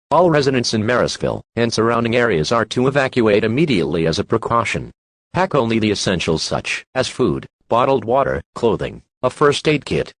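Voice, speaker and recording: A man's voice: medium pitch, no echo, lightly acted. A man voicing an impersonal emergency alert announcer, reading scripted civil warnings in a formal, instructive manner.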